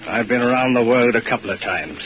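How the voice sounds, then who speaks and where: An actor playing a weary soldier narrating in a somber, measured way, in an old radio drama. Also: male voice, mid-range voice, animated, over loud music, lightly acted. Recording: dull lo-fi old radio recording.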